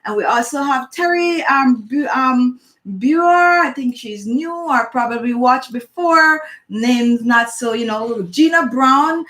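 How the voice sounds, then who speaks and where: A middle-aged Jamaican woman with a strong accent hosts a beauty chat, rambling warmly from one tip to the next. Her voice sits a little high for a woman, and she calls out viewers by name as she goes. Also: slow, poor audio. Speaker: beauty channel host. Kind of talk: casual live chat and beauty tips.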